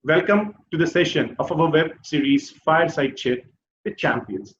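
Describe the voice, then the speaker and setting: A man's voice: on the high side, normal speed, a little hiss or hum. A man hosting a web interview, earnestly reading a long formal introduction of his guest, with small restarts. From India. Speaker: host. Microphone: video call. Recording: roomy, dull web call audio.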